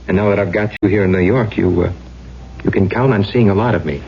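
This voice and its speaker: An actor playing a breezy, devoted young boyfriend, casual and teasing, in an old-time radio drama. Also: male voice, lowish voice, quick pace, creaky. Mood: teasing affection. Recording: dull, noisy old radio recording.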